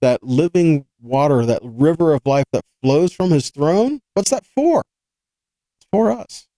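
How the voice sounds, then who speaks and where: A man's voice: a little high, expressive, a little slow, mellow, no room sound, poor audio. A man teaching scripture in an informal, earnest sermon style, explaining conversationally with rhetorical questions and repeated points. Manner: conversational, asks rhetorical questions and checks in with listeners. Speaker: Bible teacher hosting a weekly scripture study.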